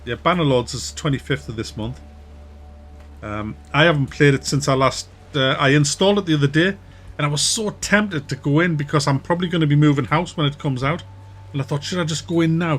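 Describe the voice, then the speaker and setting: A man with a Wearside Mackem accent from near Durham, casually chatting with viewers during a game stream, relaxed and chatty, often laughing and trailing off. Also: mid-range voice. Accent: Wearside (Mackem) North East English. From North East England, near Durham. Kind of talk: casual live-stream chat reading viewer comments.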